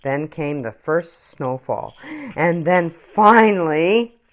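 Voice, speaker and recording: A woman reading a children's picture book aloud as a storyteller, expressively and with dramatic pauses. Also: sits low, animated.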